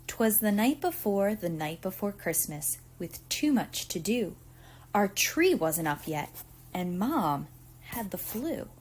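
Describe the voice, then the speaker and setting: Animated woman reading a children's story. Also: mid-range voice, breathy edge, low-quality recording. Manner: deliberate, theatrical storytelling with acted emphasis. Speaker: preschool teacher reading aloud. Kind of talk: expressive children's picture book read-aloud.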